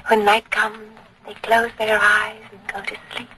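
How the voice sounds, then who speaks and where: A woman's voice: medium pitch, monotone, measured pace, bright, forceful tone. An actress playing a delirious imprisoned mother, dazed and repetitive, pining for her children in an old radio drama style. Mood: dreamy, soothing. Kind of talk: 1940s radio acting. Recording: noisy old radio broadcast.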